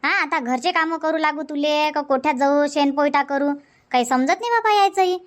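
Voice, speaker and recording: A woman's voice: high-pitched, close to an everyday voice. A woman voicing a nagging village housewife. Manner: scolding, complaining, rapid village banter. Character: nagging village housewife Shanta, chatty and sharp-tongued. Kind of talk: dubbed cartoon comedy.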